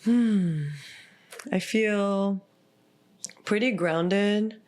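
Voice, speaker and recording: A woman from Israel, a podcast guest and healer, talking in a soft, reflective way and often pausing on "like.". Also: medium pitch. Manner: soft, reflective, full of "like" fillers. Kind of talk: casual heartfelt conversation.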